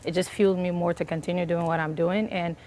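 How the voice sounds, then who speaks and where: A woman's voice: lowish voice, little pitch movement, fast, a little mellow, a little hiss or hum. A woman chef from Liberia, answering interview questions in an earnest, chatty way with many fillers. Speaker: chef and business owner, guest.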